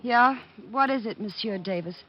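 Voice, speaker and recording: An actress playing a feisty, plain-spoken young woman, husky-voiced, in 1940s radio drama. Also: on the high side, normal speed, background noise, close to an everyday voice. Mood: defiant. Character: feisty, plain-spoken young female nightclub photographer, anxious client. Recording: dull old radio recording.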